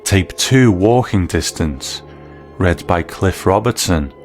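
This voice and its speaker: A man hosting and narrating a documentary in a measured, explanatory way. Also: sits low, animated, soft background music. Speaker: host.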